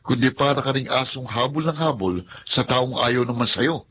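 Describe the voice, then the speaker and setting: A man's voice: mid-range voice, normal speed, a bit bright, slightly rough. A man acting a proud young man in a radio drama, blunt, cutting and dismissive.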